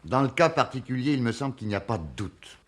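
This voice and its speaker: A man's voice: mid-range voice, expressive, quick pace, fairly bright tone, natural voice. A man playing a persuasive, insistent sleuth in a French radio mystery, reasoning emphatically. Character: insistent, persuasive amateur sleuth pressing the police.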